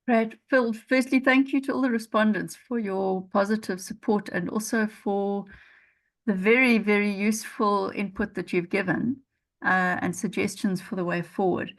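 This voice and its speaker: A woman responds to panel comments as the report's author. She speaks earnestly in a mid-pitched voice and often restarts her phrases. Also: steady tone, normal speed.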